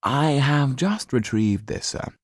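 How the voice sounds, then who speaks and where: An unruffled, deferential valet played by a male audiobook reader. He reports formally and precisely in a voice lifted above a plain narrating pitch, with a dry studio closeness. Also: animated, slow pace, no echo.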